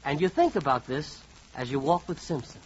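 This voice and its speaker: High-pitched, animated male radio narrator. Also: normal speed, slightly rough. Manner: wry, conversational. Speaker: comedy show narrator. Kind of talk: 1940s radio comedy narration in second person. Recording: muffled, faintly noisy 1940s radio recording.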